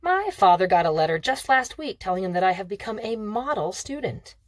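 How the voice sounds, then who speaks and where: A woman's voice: mid-range voice, sing-song, brisk, no room sound, clean studio sound, natural voice. A woman reading the part of a smug, teasing sixteen-year-old rich boy in a storybook, breezy and self-assured.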